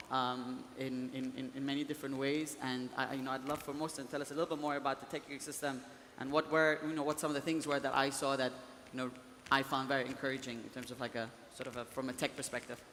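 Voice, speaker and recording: A man raised in Germany moderating a conference panel, chatty and quick. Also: medium pitch, a little hiss or hum. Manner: fast, flat, chatty. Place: conference hall. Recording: reverberant hall with faint ambience.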